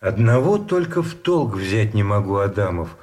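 An actor playing a pedantic, wordy gentleman prisoner of war in a radio play, reasoning in a measured way. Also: fairly low pitch, big pitch swings. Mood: pedantic, calm. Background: instrumental music.

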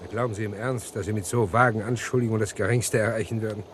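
A man's voice: fairly low pitch, steady tone, slight background noise, natural voice. Sex: man. Figure: an actor playing a cold, guarded murderer. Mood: scornful. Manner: measured, haughty, hesitant. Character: cold, guarded murderer telling his story. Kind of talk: vintage radio play narration and acting.

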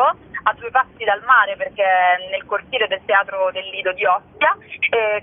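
A woman speaking spontaneously as a festival curator in an interview, sometimes searching for words mid-phrase, over a telephone. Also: fairly high pitch. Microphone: telephone call. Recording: narrow, tinny telephone line.

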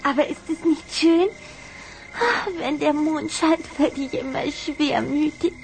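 Young vampire girl, very high voice, unhurried, affectionate, emotional, radio play with music. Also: steady tone, gravelly, noisy background, heavily acted. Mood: dreamy, wistful. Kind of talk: German children's audio drama acting.

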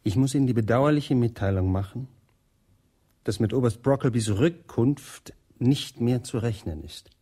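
A formal official in a radio play delivers grim news in a stiff, bureaucratic way. His voice is dark and mellow with a slightly husky edge. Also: mid-range voice, animated, moderate pace. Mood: grave. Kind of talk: 1960s radio drama acting.

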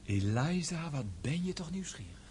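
An actor in a radio play as an eager, dreamy young gentleman, a dutiful and enthusiastic son. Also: fairly high pitch, very animated, moderate pace, slightly rough, full character voice. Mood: wistful.

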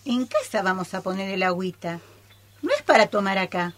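A muddled old lady customer in comic radio theatre, played by a woman. Her medium-pitched voice moves up and down a lot as she fusses and loses track. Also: very animated, a little fast, background noise, lightly acted. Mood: fussy, muddled.

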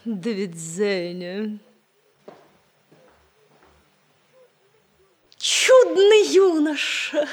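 A woman's voice: very high-pitched, exaggerated voice. An actress playing a flirtatious, theatrical, much-married middle-aged mother in a 1960s radio comedy, gushing and giggly, drawing out her words. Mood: dreamy, smitten. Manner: coquettish, giggly, gushing, drawn-out delivery.

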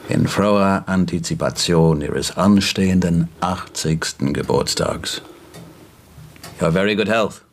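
An actor playing a very aged, courteous English gentleman in a radio play, speaking with formal ceremony. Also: deep voice, unhurried, gravelly. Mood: ceremonious. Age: older. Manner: formal, ceremonious toast-giving. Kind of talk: German radio play acting.

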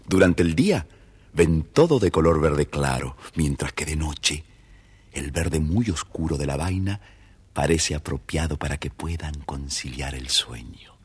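A man's voice: extremely low pitch, lively intonation, measured pace, breathy edge, no echo. A man narrating a scripted children's story as the storyteller.

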